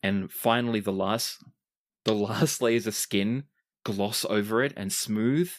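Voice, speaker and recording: Game master doing tabletop rpg narration, dramatic descriptions w casual asides, medium pitch. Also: steady tone, slow pace.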